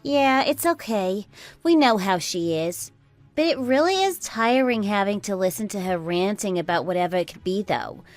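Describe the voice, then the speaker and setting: A woman's voice: mid-range voice, lively intonation, normal speed, no echo, faint music behind, lightly acted. A woman playing a chatty, weary in-law who complains and gossips in an audio drama. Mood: gossipy, weary. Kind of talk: conversational drama dialogue.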